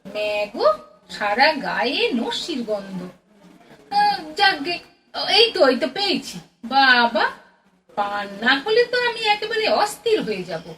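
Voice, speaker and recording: High-pitched fussy middle-aged mother acted by a woman. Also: big pitch swings, unhurried, pushed and bright, full character voice. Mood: fretful, fussy. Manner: fretful, exclamatory, homely. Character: fussy, chatty middle-aged Bengali mother addicted to betel leaf. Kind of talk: audio play acting. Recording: distant mic, noisy background.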